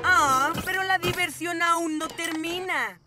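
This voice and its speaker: A woman's voice: extremely high pitch, slow. A woman voicing a scheming boy mad scientist in a children's cartoon, with a Latin American accent and gloating, theatrical taunts. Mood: smug. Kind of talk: animated children's cartoon dubbing. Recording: music behind the voice.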